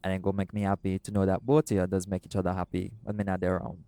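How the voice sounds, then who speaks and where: A man voicing a doting, laid-back seagull shifter boyfriend in an intimate audio roleplay, speaking softly and affectionately. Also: low voice, little pitch movement, very fast, no echo. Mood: tender. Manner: soft-spoken, affectionate, creole-inflected speech.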